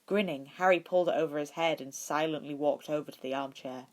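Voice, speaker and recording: A woman narrating a story from a script in an expressive way, as an amateur audiobook reader. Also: low-pitched, brisk. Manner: acts out dialogue with expression.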